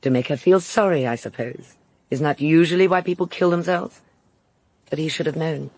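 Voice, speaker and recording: A woman acting in a radio drama as a bitter servant, blunt, pointed and quietly menacing. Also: sits low, moderate pace, no room sound.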